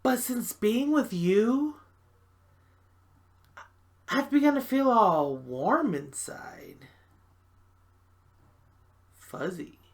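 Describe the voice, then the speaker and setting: A woman performing a stage monologue as a frantic, self-confessed jerk pleading desperately, with theatrical swings from hushed to loud. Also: mid-range voice, full character voice.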